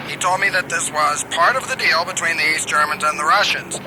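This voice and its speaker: A man playing an earnest, deadpan news correspondent in a satirical radio play. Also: a little high, a little fast, close to an everyday voice. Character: earnest male field correspondent in a spoof news bulletin. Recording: noisy outdoor street ambience with vehicles.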